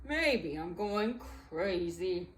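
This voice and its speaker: A mean, hungry male lion from a storybook, voiced by a woman. He mutters slowly, drawing out his words in puzzled bewilderment. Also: on the low side, lively intonation, pitched below the performer's own voice. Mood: bewildered.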